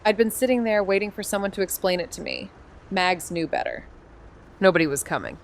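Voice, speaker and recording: A woman's voice: mid-range voice, animated, brisk, slight background noise, lightly acted. A woman playing an anxious young amateur detective and narrator in a modern noir audio drama. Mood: somber, numb. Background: music underneath.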